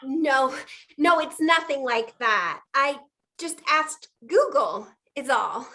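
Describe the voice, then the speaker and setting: A woman's voice: high-pitched, animated, takes it slowly, pushed and bright, heavily acted. A woman playing an earnest, innocent young helper in a noir stage play reading, meek and apologetic. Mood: timid, earnest.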